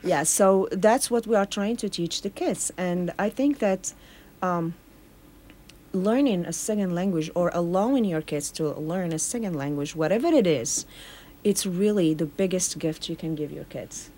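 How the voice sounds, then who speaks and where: Earnest woman, slightly low voice. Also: moderate pace, mellow. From Morocco. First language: Arabic. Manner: earnest, explaining with repeated phrases. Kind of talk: casual radio chat.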